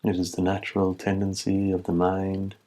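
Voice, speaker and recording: A man giving guided meditation instruction as a teacher, in measured short phrases with long pauses. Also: low-pitched, completely flat delivery, warm tone.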